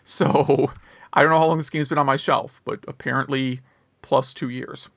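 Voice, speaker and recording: Male podcast co-host, gravelly mid-range voice, casual, rambling, wry griping. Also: medium pitch, fairly even intonation, normal speed, no echo. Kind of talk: casual chat about video games.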